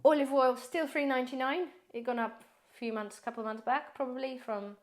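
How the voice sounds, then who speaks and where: A woman with a slightly raised pitch talks viewers through her grocery haul in a loose, rambling vlog style. Her voice is a little hoarse, as if she is losing it. She speaks to viewers directly and calls them guys. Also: steady tone, brisk. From based in the UK. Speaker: prepper vlogger.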